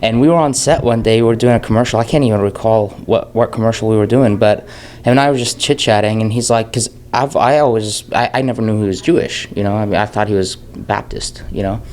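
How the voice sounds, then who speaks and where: Low-voiced man from Jordan. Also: a little fast, a little mellow, a little hiss or hum. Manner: candid, rambling with restarts. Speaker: filmmaker guest. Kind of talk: casual interview talk.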